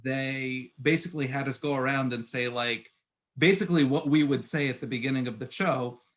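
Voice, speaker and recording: A young man hosting a podcast, telling stories in a loose, animated way with lots of restarts. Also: medium pitch, a little fast. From born in Egypt, raised in Puerto Rico, Queens and Long Island. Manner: animated storytelling with frequent restarts and "like". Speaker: podcast host. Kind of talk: casual conversation.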